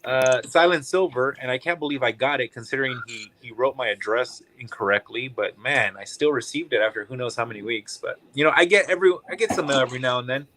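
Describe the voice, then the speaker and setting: A man's voice: medium pitch, fast, hardly any pauses, sharp tone, slight background noise, rough recording. A man joining a livestream as a guest, chatty and upbeat, swearing casually.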